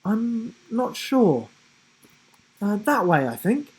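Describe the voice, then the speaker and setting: A man's voice: sits high, sing-song, takes it slowly, darkish tone, slightly rough, pitched above the performer's own voice, full character voice, low-quality recording. A man voicing a young boy hero, hesitant but determined, in a children's adventure storybook.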